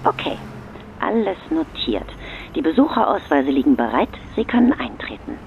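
A brisk receptionist at a factory gate, acted out for a skit, heard over a tinny phone-like line with clear noise. The delivery swings up and down in a scripted intro style. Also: medium pitch, big pitch swings. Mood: businesslike. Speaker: receptionist greeting visitors in podcast intro.

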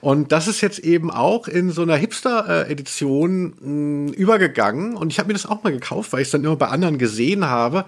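Male podcast host, voice a bit high, loose rambling chatter with trendy anglicisms. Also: animated, fairly quick, gravelly, hardly any pauses. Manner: rambling, colloquial, anglicism-sprinkled. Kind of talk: casual chat.

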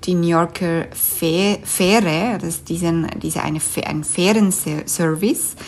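A Swiss woman with a Swiss German accent, answering interview questions thoughtfully, often pausing with fillers and restarting sentences. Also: fairly low pitch, slight background noise. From Switzerland. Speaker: interview guest.

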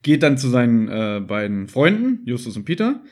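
A man's voice: medium pitch, very animated, normal speed, a little mellow. A man chatting casually as a podcast host, explaining things at length and often tagging on questions. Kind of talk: casual chat about audio dramas.

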